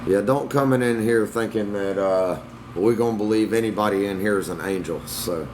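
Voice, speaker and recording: A man's voice: on the low side, normal speed, darkish tone. A young man talking bluntly and defensively to his livestream chat. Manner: blunt, defensive, Southern-tinged slang. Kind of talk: casual livestream talk to chat. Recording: noisy room with air conditioning hum.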